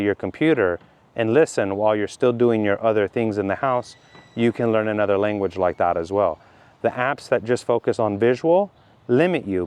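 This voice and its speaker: A middle-aged man from California with a Californian accent, teaching slowly and deliberately for language learners, repeating his key points. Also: lowish voice, darkish tone. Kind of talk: slow explanatory monologue for learners.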